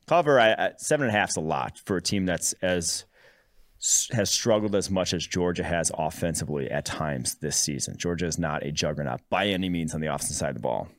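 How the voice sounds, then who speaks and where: A man hosting a sports podcast, giving casual, conversational analysis of games. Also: low voice.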